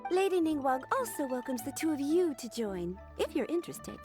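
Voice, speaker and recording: A woman playing a poised, courteous secretary in a video game, speaking formally and with measured politeness. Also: high-pitched, very animated, music in the background. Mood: formal, composed. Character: poised, courteous young female secretary to a noblewoman. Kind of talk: video game cutscene voice acting.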